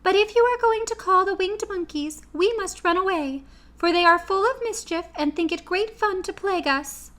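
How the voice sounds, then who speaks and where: A woman voicing the small queen of the mice in a storybook reading, high and squeaky. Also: normal speed, a bit bright. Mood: kindly helpful. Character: Queen of the Mice, tiny squeaky female mouse ruler.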